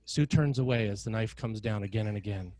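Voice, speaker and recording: A man with a lowish voice narrates a screenplay. He reads stage directions in a measured, dramatic style. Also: mellow, dry recording, low-quality recording.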